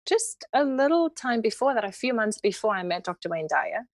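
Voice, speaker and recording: A woman in her thirties with a South African accent, a holistic healing practitioner speaking gently and unhurriedly as a podcast guest. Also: on the high side, very animated, no room sound, clean studio sound. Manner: gentle and unhurried, with many fillers. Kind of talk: relaxed interview conversation.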